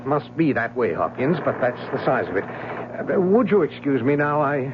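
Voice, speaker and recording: A man's voice: mid-range voice, very animated, normal speed, close to an everyday voice. An actor playing a nervous, secretive man in a 1970s radio drama, stammering and apologetic as he dodges questions. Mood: evasive. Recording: muffled old radio broadcast, hiss.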